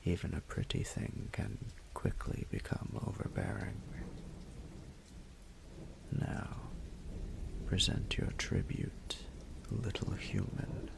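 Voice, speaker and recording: A man voicing an ancient, haughty and flirtatious male dragon in a roleplay audio drama, speaking in a formal, imperious, deliberate way. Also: very animated, in a whisper, heavily acted. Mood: solemn, appraising, then stern, accusing. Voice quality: breathy, gravelly low voice.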